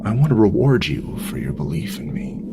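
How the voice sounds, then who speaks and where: An actor playing a sinister, manipulative talking pig in an audio drama, coaxing and commanding in a deliberate, gravelly voice. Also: male voice, lowish voice, very animated, heavily acted. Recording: music under the voice.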